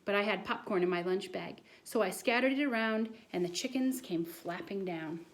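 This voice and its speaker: Woman acting out an excitable storybook schoolboy, earnest, insistent, dramatic tall-tale telling. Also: medium pitch, normal speed, lightly acted. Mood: excited storytelling.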